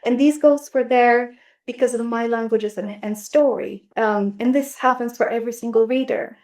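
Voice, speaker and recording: A fairly high-pitched woman whose first language is Bulgarian speaks in a reflective, academic way, pausing and restarting as she thinks. She sounds set back from the microphone. The room around her echoes. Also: a little mellow. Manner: thoughtful, many restarts and fillers. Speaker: roundtable speaker on translation. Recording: roomy sound, distant mic.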